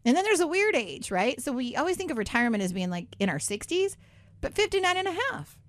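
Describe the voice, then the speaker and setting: A woman's voice: high voice, sing-song, fast, dry recording. A woman hosting a radio show in a studio, talking in a chatty, upbeat way with many asides. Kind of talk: casual talk radio chat.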